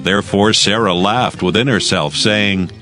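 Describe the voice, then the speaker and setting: A man narrating scripture from a script in a measured, formal manner. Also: lowish voice, pushed and bright, faint music behind.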